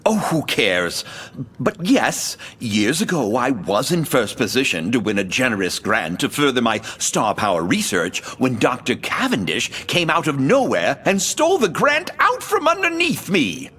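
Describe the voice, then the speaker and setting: A man's voice: medium pitch, huge pitch swings, a little slow, sharp tone, husky, full character voice. A man playing a proud, defensive scientist in an audio drama, with a theatrical, insinuating delivery. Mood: accusing. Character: defensive, catty male scientist and inventor, proud and suspicious.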